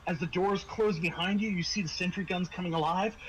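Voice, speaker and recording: A man with a fairly high voice acts as game master for a tabletop horror game. He improvises his narration and pauses for drama as he describes each scene. There is light noise behind him. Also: steady tone, rough recording.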